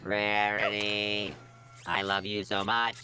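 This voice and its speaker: A childlike robot little sister in a cartoon, with a strikingly low voice. She is devoted and naive, and speaks in literal, stilted machine phrases, with music underneath. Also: level tone, takes it slowly. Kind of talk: cartoon parody dub.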